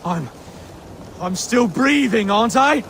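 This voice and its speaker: A reluctant young Saxon heir, hesitant and flustered. His high voice jumps around a lot. Loud ambient noise fills the game cutscene behind him. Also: expressive, slow pace, heavily acted. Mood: nervous. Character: young Saxon would-be king, nervous and reluctant.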